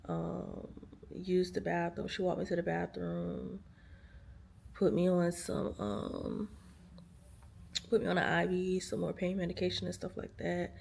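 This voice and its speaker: A woman's voice: fairly low pitch, level tone, a little slow, vocal fry, a little mellow, a little hiss or hum. A tired woman, chatting casually to viewers from a hospital room. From United States. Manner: chatty, lots of fillers, speaks to viewers as y'all. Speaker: vlogger. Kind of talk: casual storytelling to camera.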